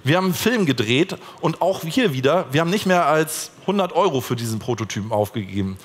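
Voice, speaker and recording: A man's voice: fairly high pitch, lively intonation, fast talker, slightly rough. A man in his thirties from Germany, giving a conversational conference talk on stage with a lot of fillers and restarts. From Hamburg, Germany. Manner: gestures while walking the stage. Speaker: conference speaker. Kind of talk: conference keynote presentation. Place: conference stage in a large hall. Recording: long hall reverb.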